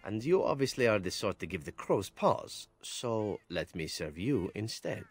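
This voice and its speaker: Husky, lively, sly elf assassin. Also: male voice, fairly low pitch, unhurried, exaggerated voice. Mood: candid, bargaining. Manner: smooth-talking, playful, formal phrasing. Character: suave, sly male elf assassin, candid and wry.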